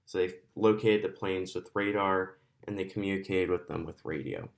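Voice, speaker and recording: A man with a fairly low voice walks through an explanatory presentation. He speaks as a student presenter, with halting phrases and pauses in the middle of sentences. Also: creaky.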